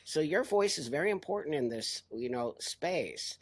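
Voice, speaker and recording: Older male host, husky voice with a lively swinging pitch, emphatic and repetitive in casual interview chat. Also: moderate pace. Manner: emphatic, repeats himself for reassurance.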